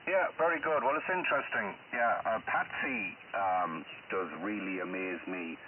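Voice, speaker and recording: A man with a very low voice chats casually over amateur radio. He sounds distant and narrowband, with clear hiss around him. His talk rambles, hesitant and self-deprecating. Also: normal speed. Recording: muffled narrowband shortwave radio with loud hiss.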